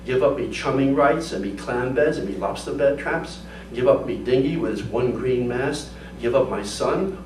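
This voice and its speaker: A man's voice: mid-range voice, darkish tone, natural voice. A man reading a story in the part of a proud, stubborn, weary old seafarer with a folksy, rhetorical way of talking. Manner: folksy sailor idiom, rhetorical. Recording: roomy live event, distant mic.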